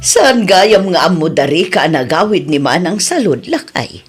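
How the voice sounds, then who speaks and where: An actress playing a middle-aged village aunt in an Ilocano radio comedy-drama, chatty and expressive, with a Filipino accent. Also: female voice, on the low side, unhurried, faint music behind. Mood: wry, concerned.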